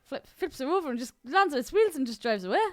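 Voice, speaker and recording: Woman, lively swooping intonation, dry close sound, casual opinionated podcast banter. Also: high voice, fast talker, no echo. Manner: sweary, opinionated, laughs readily. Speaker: podcast co-host.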